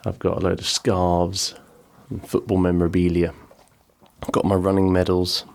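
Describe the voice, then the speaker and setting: Low, gravelly, rambling podcast host. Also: male voice, monotone, moderate pace, darkish tone. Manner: rambling, chatty, self-deprecating. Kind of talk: casual chat. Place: small home-built pub in a garden outbuilding.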